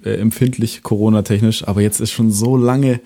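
A young man, a singer-songwriter, talking in a relaxed way with many fillers and a voice that trails off softly. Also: fairly low pitch, brisk. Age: young adult. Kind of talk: casual interview chat.

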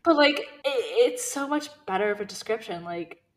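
A woman's voice: on the high side, animated, moderate pace, low-quality recording. Woman chatting emphatically about books. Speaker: co-host of a book discussion podcast. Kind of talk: casual book chat.

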